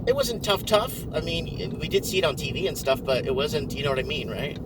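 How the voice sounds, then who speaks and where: A man's voice: on the high side, a little fast. A man in his forties whose first language is Portuguese, musing in a loose, rambling vlog monologue and repeating words as he goes. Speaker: vlogger. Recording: distant mic with loud traffic noise.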